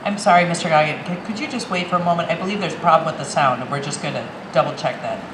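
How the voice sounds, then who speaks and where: A woman's voice: deep voice, very fast. Woman moderating, echoey noisy PA. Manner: polite, orderly. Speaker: forum moderator. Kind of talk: reading questions and directing speakers. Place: outdoors in a town park. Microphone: public address microphone. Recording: echoey outdoor PA with clear noise.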